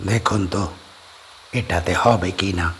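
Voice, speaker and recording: An actor playing a calm, polite man who hosts guests at his island bungalow. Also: low voice, slow, pitched below the performer's own voice. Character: middle-aged man D'Souza, calm island bungalow host.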